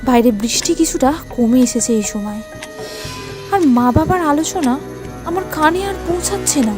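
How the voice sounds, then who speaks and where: Woman, high-pitched voice, dramatic horror storytelling, suspenseful pauses, faint music behind. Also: expressive. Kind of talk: dramatic first-person horror story narration.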